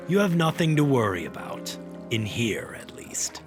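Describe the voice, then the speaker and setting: A man's voice: medium pitch, wildly swooping pitch, moderate pace, music in the background. A man playing a smug, gloating monster hunter villain in a radio drama, taunting and theatrical.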